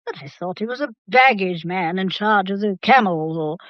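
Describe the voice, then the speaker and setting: An actress playing an imperious, sharp-tongued English grandmother of nearly ninety in a radio drama, brusque, haughty and old-fashioned. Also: medium pitch, expressive, normal speed, no room sound, close to an everyday voice. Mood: indignant, commanding. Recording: dull, muffled radio play recording.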